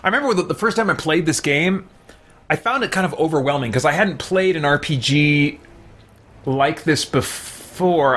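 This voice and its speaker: A man's voice: fairly high pitch, a bit bright. A man hosting a let's play, chatting casually in a rambling, reflective way while he plays. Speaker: gaming channel host.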